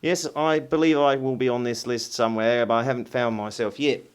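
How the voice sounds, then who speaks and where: A man's voice: mid-range voice, steady tone, brisk, lightly acted. An actor playing a sardonic male amateur investigator who talks casually and swears. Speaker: investigator lead. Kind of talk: mockumentary film acting.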